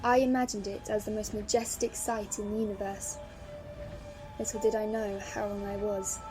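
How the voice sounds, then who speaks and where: A woman's voice: mid-range voice, level tone, normal speed, natural voice, low-quality recording. A woman playing a wistful, inquisitive young granddaughter in a fan audio drama, speaking earnestly. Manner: earnest, questioning. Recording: music laid under the voice.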